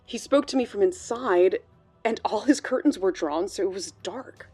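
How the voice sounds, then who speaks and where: Woman acting as worried colleague, interview testimony, hesitant, medium pitch, faint music bed, podcast drama. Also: moderate pace, mellow, slightly rough, lightly acted. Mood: uneasy. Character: worried female bank colleague recalling a troubling friend. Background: background music.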